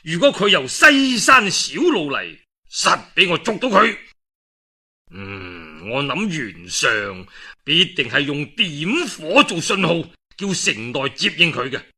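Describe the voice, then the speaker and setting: A man's voice: fairly high pitch, sing-song, takes it slowly, bright, forceful tone, breathy edge, full character voice. A male storyteller voicing a shrewd, confident ancient warlord in Cantonese, calculating and emphatic, in a spoken historical tale. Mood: scheming, confident.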